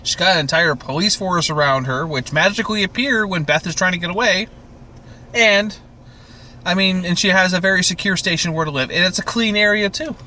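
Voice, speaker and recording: A man hosting a casual podcast chat about a TV show, with crude, profane, animated joking. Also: high-pitched, brisk, slight background noise. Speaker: podcast host.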